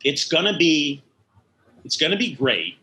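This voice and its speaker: A man's voice: on the high side, very animated, a little slow, pushed and bright, low-quality recording. A middle-aged or older man, an interview guest recalling his work in animation with emphatic enthusiasm. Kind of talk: conversational recollection.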